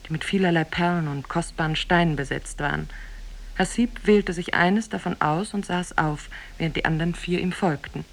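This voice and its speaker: An actress as the narrator of an Arabian fairy tale, grave and measured in 1950s radio storytelling style. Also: female voice, lowish voice. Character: solemn female teller of an Arabian Nights tale. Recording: 1950s radio recording from cassette.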